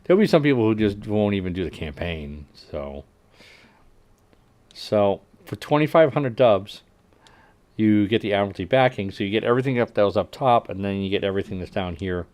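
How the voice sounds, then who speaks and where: A man hosting a livestream, casually reading game update notes aloud and adding wry asides that trail off quietly. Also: lowish voice, animated, a little fast. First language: English. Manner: frequent fillers and restarts while working out numbers. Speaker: livestream host.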